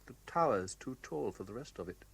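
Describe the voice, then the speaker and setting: A man's voice: fairly low pitch, animated, a little fast, creaky. A British actor playing an anxious, lovesick man terrified of heights in a radio drama, pleading and urgent. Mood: subdued, concerned. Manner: pleading, urgent, emotionally wrought. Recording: echoey, noisy vintage radio drama recording.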